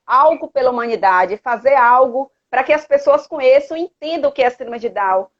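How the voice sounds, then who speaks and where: A woman's voice: high-pitched, a little fast. A woman with a Brazilian accent from Salvador, Bahia, speaking earnestly and urging listeners on in a casual live chat, often ending phrases with a tag question. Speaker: host of a live chat, activist parent. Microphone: live social media video call. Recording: dull lo-fi livestream audio.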